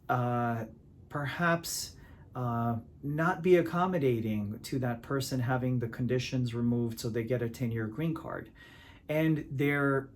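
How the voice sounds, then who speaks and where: A man from Pakistan, an immigration attorney, explaining legal protections to camera in a measured, deliberate way with frequent filler sounds. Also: mid-range voice, a little mellow.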